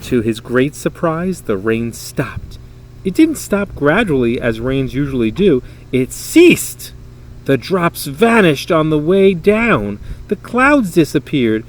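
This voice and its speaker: Animated male storybook reader, highly varied pitch. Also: slow. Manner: dramatic, emphatic storytelling with varied phrasing. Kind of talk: expressive read-aloud of a children's story.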